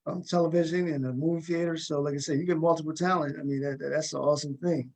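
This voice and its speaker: Higher-pitched man hosting a casual talk show. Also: fairly even intonation, fast, a little mellow. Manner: conversational, repeats words and phrases. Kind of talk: casual interviewing.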